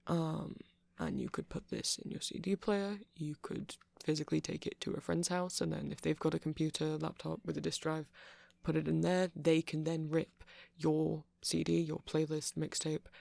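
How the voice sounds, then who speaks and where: A man playing a nerdy, friendly vampire shopkeeper in an ASMR roleplay, soft-spoken and gravelly, rambling hesitantly as he explains tech. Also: fairly high pitch, no echo. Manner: rambling, hesitant, self-conscious geeky explaining.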